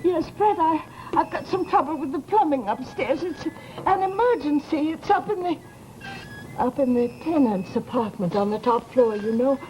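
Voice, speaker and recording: High-pitched nosy American landlady. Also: lively intonation, normal speed, darkish tone. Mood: anxious, urgent. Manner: garrulous, dramatic, scolding. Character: nosy, aggrieved, self-pitying widowed landlady. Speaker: radio drama actress. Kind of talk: 1940s American radio horror acting. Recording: noisy old-time radio recording.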